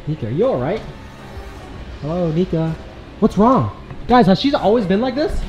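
A man's voice: high voice, normal speed, lo-fi sound, soft background music. A man, vlogging in a frantic, repetitive way on a handheld camera inside a house. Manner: frantic, repeats himself in excited bursts. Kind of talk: staged horror vlog, reacting on camera. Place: inside a house, moving about. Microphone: handheld camera close by.

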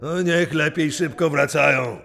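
A man playing the gruff boss of a prison colony in an audio drama, gravelly, curt, irritable and commanding. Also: on the high side, measured pace, fairly bright tone. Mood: irritated, commanding. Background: background music.

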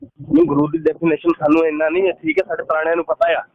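A man's voice: a little high, very animated, quick pace, vocal fry, dry recording. A man with a Punjabi accent calling in, arguing informally and protesting in plain, repetitive phrases. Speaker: phone caller defending his religious group. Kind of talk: informal phone argument. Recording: tinny, narrow phone line.

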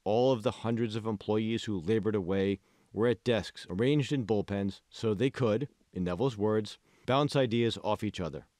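A man's voice: lowish voice, normal speed, darkish tone, no echo, studio quality. A man reading scripted audiobook narration as the author of the book.

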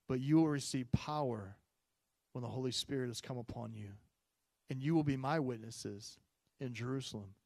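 A man in his forties preaching a Sunday sermon on a handheld microphone, chatty and full of fillers, rising loud on key points and dropping low and soft in prayer. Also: no room sound. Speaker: pastor. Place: church stage, medium-sized room. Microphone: handheld mic.

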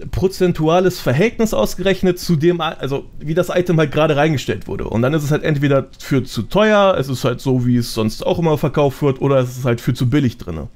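A man's voice: fairly high pitch, darkish tone. A man talking casually as a podcast guest, explaining things in a chatty, self-deprecating way.

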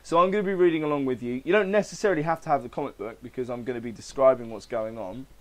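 A man's voice: medium pitch, rapid-fire. Male podcaster with a slightly crackly throat. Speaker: podcast host. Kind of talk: casual podcast chat and reading aloud.

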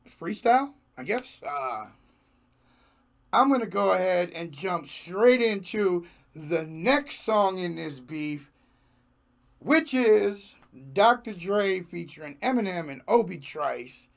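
Hoarse young man, high voice, gravelly, slow, casual slangy reaction host. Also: big pitch swings. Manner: slangy, laid-back, laughs often. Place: small home studio room with jerseys on wall. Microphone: large desk microphone in front.

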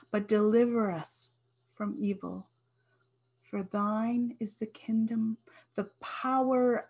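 Middle-aged woman, worship leader, slow breathy prayer on a close webcam. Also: mid-range voice. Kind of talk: leading the Lord's Prayer. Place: church with banner behind. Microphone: webcam, close framing.

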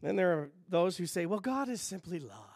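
A middle-aged male pastor preaches from a church pulpit in a fairly high voice, teaching with lively swings between loud emphasis and quiet asides. The sound is close and dry, with little room echo. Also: normal speed. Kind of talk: sermon. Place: church sanctuary stage.

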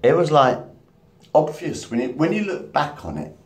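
A man's voice: fairly high pitch, big pitch swings, moderate pace, recorded from a distance. A man in his fifties rambling to camera in a casual monologue, swearing and laughing at his own jokes, filmed on a phone at arm's length in a small room. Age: 50s. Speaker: vlogger. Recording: roomy echo, from the mic, faint background noise.

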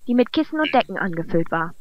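A woman's voice: medium pitch, big pitch swings, quick pace, dry recording, close to an everyday voice. A woman reading scripted narration as the storyteller of a fantasy audio drama. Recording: muffled lo-fi amateur recording. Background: loud music.

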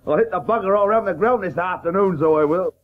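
An actor playing a brash, cocksure young Victorian gentleman cricketer in a radio play. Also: high voice, fast, dry recording. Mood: boastful. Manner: blustering, cocksure. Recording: muffled old radio broadcast tape.

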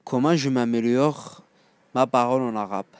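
A man with an Egyptian accent teaching phrases, repeating each one slowly and then glossing it in quick, casual asides. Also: medium pitch, clear, resonant tone, dry recording. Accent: Egyptian Arabic. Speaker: language teacher. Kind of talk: phrase-by-phrase language lesson.

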